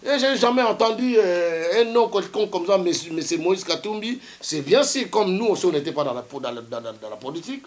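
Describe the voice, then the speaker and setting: A man's voice: high voice, lively intonation, a little fast, hardly any pauses. A man, a studio guest arguing his point on a TV talk show, insisting and repeating himself to keep the floor. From Democratic Republic of the Congo. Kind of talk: heated debate on a TV talk show.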